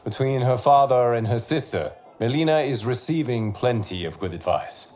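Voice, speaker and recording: An actor playing a wise, serene monk guardian who speaks in a formal, measured way. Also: male voice, mid-range voice, expressive, a little mellow, lightly acted. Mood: solemn. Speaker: leader of the champions.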